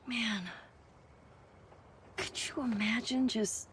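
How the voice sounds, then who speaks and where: An actress playing a spirited, sarcastic teenage space nerd in a naturalistic video game performance. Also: female voice, fairly high pitch, fairly even intonation, extremely slow. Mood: awed, delighted. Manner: sarcastic, exclamatory, breathy wonder. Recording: clear background noise, ambience.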